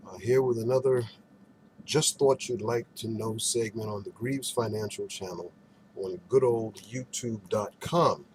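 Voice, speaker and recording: A man's voice: on the low side, measured pace, a little mellow, slight background noise. A man hosting an advice segment, speaking straight to viewers in a folksy, instructive way, with emphatic phrasing and rhetorical questions. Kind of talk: direct-to-camera advice and product show-and-tell.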